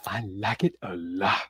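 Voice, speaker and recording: A man's voice: sits low, fairly even intonation, slow pace, fairly bright tone, husky, dry recording. A man hosting a podcast in a casual interview style, chatty and given to repeating phrases. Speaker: podcast host.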